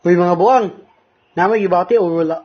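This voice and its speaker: A man's voice: sits high, fairly quick, close to an everyday voice. A man playing a restless young guy with a Filipino accent, bantering in slang with friends in an amateur radio drama. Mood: concerned. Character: Ram, restless young man eager to hang out. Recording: dull lo-fi audio under loud music.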